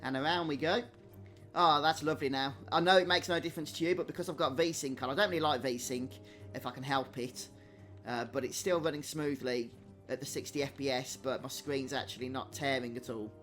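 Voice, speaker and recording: A man's voice: medium pitch, steady tone, fairly quick, a bit bright. A man giving casual live game commentary and chatting to viewers as a streamer. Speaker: gaming streamer. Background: game music.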